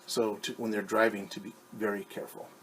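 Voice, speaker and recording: A man reading a picture book aloud to young children as their teacher, patiently asking them questions and pausing for answers. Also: fairly low pitch, level tone, fairly bright tone, slight background noise, rough recording. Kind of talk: children's storytime read-aloud.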